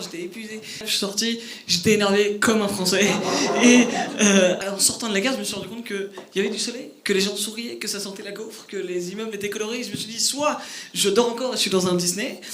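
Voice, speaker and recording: A young man with a Parisian accent doing a self-mocking stand-up set on a club stage. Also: pushed and bright. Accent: Parisian French. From France. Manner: quick, self-mocking, with a youthful high voice. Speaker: stand-up comedian. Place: comedy club stage before a laughing audience. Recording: echoey club with audible background noise. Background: audience laughter.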